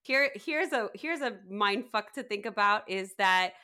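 A middle-aged woman with a bright, forward voice in a relaxed group chat. She is wry and self-mocking, at an average female pitch, and happy to swear. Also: measured pace, clean tone. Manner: wry, joking, self-deprecating, swears casually. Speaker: podcast co-host.

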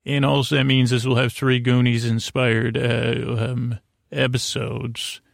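A man with a distinctly creaky, fry-laden voice delivers a bedtime podcast monologue. He meanders in a soothing way, breaking off and circling back through long-winded asides. The sound is dry, with very little room to it. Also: medium pitch, level tone, slow, no room sound. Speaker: podcast host.

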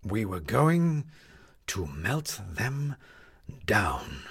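A man voicing a proud, pompous male schemer in a story, deliberate and emphatic. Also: fairly low pitch, very animated, fairly bright tone, husky, exaggerated voice. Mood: defiant.

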